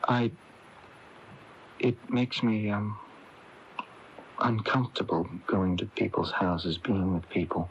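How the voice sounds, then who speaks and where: A man's voice: fairly low pitch, level tone, slow, vocal fry, pauses often. An actor playing a strange, withdrawn young man in a 1980s radio drama, confused, hesitant and defensive. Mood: uncomfortable, insistent. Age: young adult. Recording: muffled, noisy taped radio broadcast.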